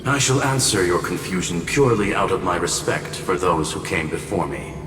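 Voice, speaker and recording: Guy voicing low voiced scholarly game character, formal and composed, big echoey hall. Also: normal speed, recorded from a distance, music in the background, lightly acted. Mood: calm, explanatory. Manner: formal, explanatory. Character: composed, erudite male genius negotiator, polite but firm. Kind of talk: video game voice acting.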